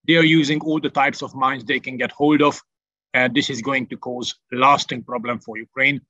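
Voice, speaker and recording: A Hungarian-accented man walks through a military briefing in a bright, forceful voice, pausing often on filler sounds. The sound is dry and a little dull, like online call audio. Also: mid-range voice, dry recording. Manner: methodical, frequent "uh" fillers. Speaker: military analyst. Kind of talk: briefing over a shared map. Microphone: video call.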